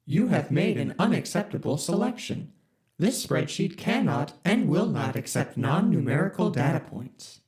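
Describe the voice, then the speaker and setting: Deadpan corporate ship AI. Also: mid-range voice, normal speed. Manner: flat, polite, bureaucratic. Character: deadpan corporate spaceship computer AI. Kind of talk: audio drama.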